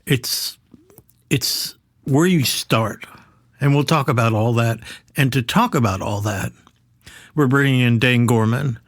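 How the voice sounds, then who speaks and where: A middle-aged man hosting a podcast conversationally, halting and emphatic, often repeating phrases to drive them home. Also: mid-range voice, no room sound, studio quality. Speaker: podcast host.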